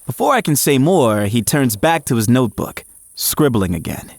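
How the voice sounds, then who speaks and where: An actor playing an eager, frazzled young male athlete, narrating his own story in an audio drama with expressive, at times hesitant delivery. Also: mid-range voice, normal speed, creaky, no room sound. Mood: puzzled, eager. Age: young adult. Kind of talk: first-person audio drama narration and dialogue.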